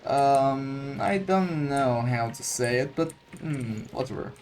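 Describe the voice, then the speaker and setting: Slow, halting man commenting on a game. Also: mid-range voice, darkish tone. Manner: halting, searching for words, mildly profane. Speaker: gaming streamer. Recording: game music behind the voice.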